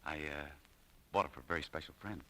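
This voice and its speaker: An actor playing a bashful, earnest shop clerk in a 1940s radio drama, with a hesitant, stammering delivery. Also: male voice, very deep voice, steady tone, quick pace, bright, forceful tone, heavily acted. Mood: shyly eager. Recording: vintage 1940s radio recording.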